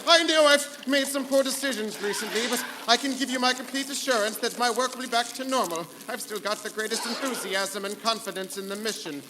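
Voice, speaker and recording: A man's voice: extremely high pitch, brisk, hardly any pauses. A man playing a bossy, over-polite rogue ship computer in a live sci-fi radio comedy. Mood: pleading. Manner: theatrical, over-polite reasoning. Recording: noisy echoing hall, live stage show.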